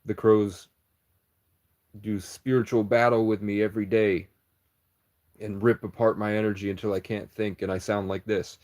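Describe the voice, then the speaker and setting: A man's voice: on the low side, level tone, normal speed, long pauses, a little mellow. A man rambling through a livestream chat in a meandering, conspiratorial monologue, often breaking off and restarting.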